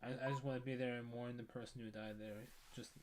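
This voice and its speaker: A man gives relaxed, rambling commentary while playing a video game, laughing often and trailing off into asides. Game music plays clearly behind his voice. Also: medium pitch, quick pace. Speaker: let's play streamer. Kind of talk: casual gameplay commentary.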